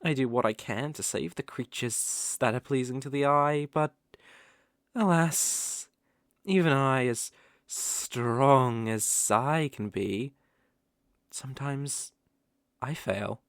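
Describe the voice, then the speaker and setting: Someone voicing a very slow, sly, teasing naga. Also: medium pitch, no room sound, clean studio sound. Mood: smooth, coaxing. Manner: slow, teasing, coaxing drawl with soft chuckles. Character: smooth-talking, sly, predatory naga fond of cute prey. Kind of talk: roleplay ASMR acting.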